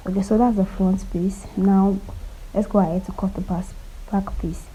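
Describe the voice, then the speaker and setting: Soft-spoken woman with a cold, teaching sewing. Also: fairly even intonation, moderate pace, slight background noise, rough recording. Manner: quiet, low-volume delivery; chatty, checks that viewers follow along. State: has a cold. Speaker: sewing tutor. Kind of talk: casual step-by-step sewing tutorial.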